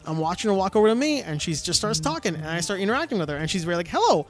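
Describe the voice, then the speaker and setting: A man with a notably high voice tells an animated story on a podcast. His talk is loose and casual, full of fillers and restarts. Faint music sits under the voice. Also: racing. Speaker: podcast co-host.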